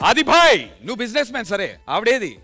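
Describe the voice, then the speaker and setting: A man's voice: very high-pitched, very animated, very fast, vocal fry. A man with a Telugu Indian accent hosting a TV stage show, announcing and trading scripted banter. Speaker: TV show host. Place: TV studio stage. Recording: music behind the stage announcing. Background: music.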